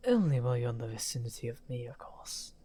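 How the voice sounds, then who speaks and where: A man playing a misunderstood, weary villain wizard in an ASMR fantasy roleplay, amused and softly menacing, talking teasingly and half in whispers. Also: mid-range voice, sing-song, fast, husky. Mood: quiet menace.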